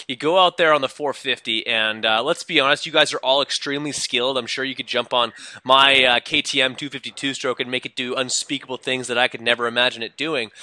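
Bright-voiced male podcast host. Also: medium pitch, no echo. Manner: rambling, many fillers and restarts. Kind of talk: casual interview.

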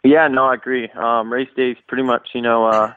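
A young Canadian man, a pro motocross racer, answering interview questions over the phone in a relaxed way, full of uh and you know. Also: medium pitch, a little fast, clear, resonant tone, no room sound. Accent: Canadian, slightly worn toward American. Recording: tinny dry phone line.

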